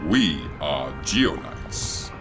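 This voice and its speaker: A man's voice: a bass voice, very animated, drawn-out words, music in the background, heavily acted. An actor playing an aging, fanatical alien king and father in a sci-fi audio drama, declaiming solemnly and grandly. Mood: solemn, defiant.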